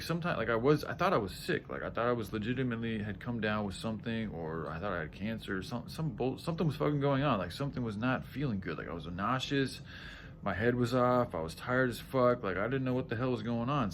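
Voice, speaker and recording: A man with a groggy, tired voice talking casually to viewers in a halting, self-correcting, sweary ramble, often clearing his throat. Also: fairly low pitch, a little hiss or hum. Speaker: vlogger.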